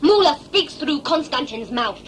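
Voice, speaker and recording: Woman acting a defiant young believer, fiery, solemn, formal wording, raised lively pitch, faint noise, sci-fi drama. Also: unhurried. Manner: solemn, formal, indignant declarations.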